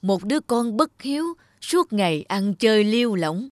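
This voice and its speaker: A woman voicing a stern, solemn male spirit of death who speaks reproachfully in an animated fairy tale. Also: mid-range voice, normal speed, dry recording, clean studio sound, natural voice.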